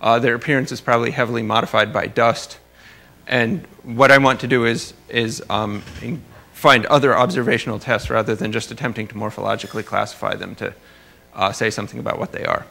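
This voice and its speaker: Bright-voiced man lecturing, with gravelly creak. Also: medium pitch, fairly even intonation, brisk. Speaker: astrophysics researcher presenting at a conference. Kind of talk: academic lecture talk with slides.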